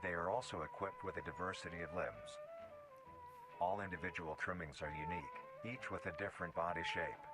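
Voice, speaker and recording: Very deep-voiced male documentary narrator over music. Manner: measured, formal delivery. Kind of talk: scripted mock nature-documentary narration. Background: music playing under the voice.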